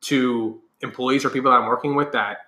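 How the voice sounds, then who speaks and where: A loud, high-energy man with a bright, forceful voice, talking fast and projecting it easily. He speaks as a guest in a relaxed interview. The room echoes around him. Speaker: corporate trainer, guest. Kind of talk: casual interview conversation.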